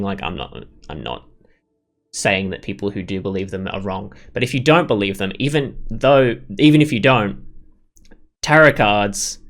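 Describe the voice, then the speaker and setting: A man's voice: lowish voice, a bit bright. Rambling man doing game commentary. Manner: rambling, thinking aloud. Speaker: gaming video host. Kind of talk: casual let's play commentary.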